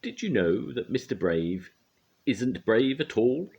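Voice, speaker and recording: An older man reading a storybook aloud, voicing a mischievous little troublemaker girl who shouts and taunts. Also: low voice, huge pitch swings, a little slow, breathy edge, dull audio, voice pushed up, heavily acted.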